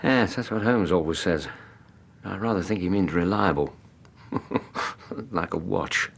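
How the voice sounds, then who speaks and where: An actor playing a steady, kindly English gentleman companion in a radio drama, gravelly, with measured, dry humour. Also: low-pitched, slight background noise. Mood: reflective. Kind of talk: radio drama acting and narration.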